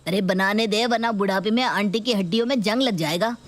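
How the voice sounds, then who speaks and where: A woman's voice: mid-range voice, a little fast, lightly acted. A woman voicing a cocky, protective young male thug with a blunt, bragging manner in a story video. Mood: brash. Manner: blunt bragging with a repeated gun catchphrase. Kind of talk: dramatised Hindi story video.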